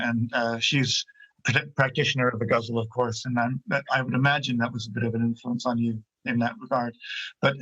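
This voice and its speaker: A man's voice: mid-range voice, fairly bright tone. Man hosting over muffled lo-fi audio. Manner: rambling, circling questions. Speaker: host. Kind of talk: moderating a poetry event.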